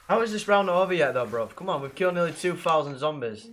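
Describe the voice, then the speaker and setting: A young man with a blocked nose and rough throat, chatting casually and swearing with viewers while playing a game. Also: on the high side, animated, brisk, a bit bright. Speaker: livestreamer playing a zombie game.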